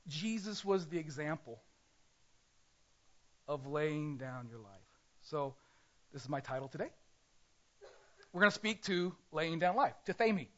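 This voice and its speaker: A male pastor preaching a sermon in a conversational way, often pausing and correcting himself. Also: fairly high pitch, expressive, a bit bright, dull audio.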